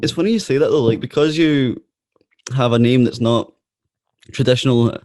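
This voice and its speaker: A man's voice: mid-range voice, normal speed, darkish tone. A man in the host's chair asks friendly interview questions and keeps calling his guest "mate". His voice sits in the middle range. The recording is dry, with no room echo. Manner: friendly, says "mate" often. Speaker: podcast host. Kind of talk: casual interview.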